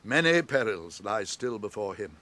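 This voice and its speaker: An actor playing a solemn, commanding old wizard in a radio drama, with grand declamatory delivery. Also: male voice, lowish voice, sing-song, moderate pace, fairly bright tone, no room sound. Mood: urgent, stately.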